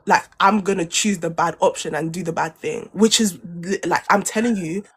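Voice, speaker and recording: A woman's voice: on the low side, moderate pace, slightly rough. A young woman co-hosting a podcast, arguing insistently in a heated debate, repeating her points and talking over interruptions. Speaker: podcast co-host.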